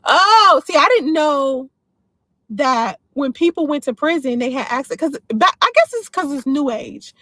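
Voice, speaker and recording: A woman's voice: high-pitched, lively intonation, unhurried, bright, forceful tone, dry recording. A woman in her forties from the Washington, D.C. area, hosting a casual livestream and speaking her mind while answering viewer comments. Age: 40s. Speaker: livestream host.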